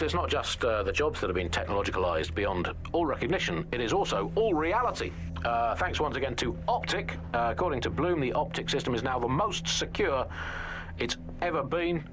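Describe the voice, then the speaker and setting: Middle-aged British male actor as a pirate radio satirist: wry, weary, mid-pitched, rambling asides, some background noise. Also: big pitch swings, moderate pace, lightly acted. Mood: sardonic. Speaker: radio host.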